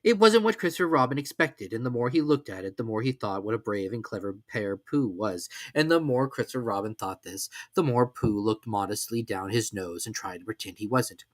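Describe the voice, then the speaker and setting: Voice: mid-range voice, animated, brisk, few pauses, dry recording, clean studio sound. A narrator giving a dramatic reading of a children's story.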